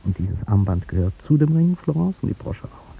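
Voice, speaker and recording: Wistful old statesman, gravelly and low. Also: sing-song. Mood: tender, nostalgic. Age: older. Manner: courtly, reflective, halting theatrical delivery. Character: aging male statesman, wistful, courtly, devoted to younger woman. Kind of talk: 1950s German radio drama acting. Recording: dull, noisy 1950s radio recording.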